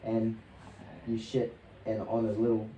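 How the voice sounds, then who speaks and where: Young male podcast guest, casual slangy storyteller, mellow tone, echoey room with faint noise. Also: on the low side, completely flat delivery, measured pace. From New Zealand and Australia. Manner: laid-back, slangy, swearing. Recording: roomy echo, distant mic, faint noise.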